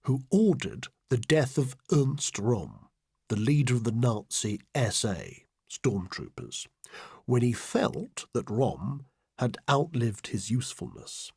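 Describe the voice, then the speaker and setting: A man reading a satirical diary audiobook as a scheming, self-important male political adviser, deadpan, deliberate and sardonic. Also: mid-range voice, husky, no echo, clean studio sound, heavily acted. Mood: coolly menacing. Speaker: audiobook reader. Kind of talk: satirical first-person diary audiobook narration.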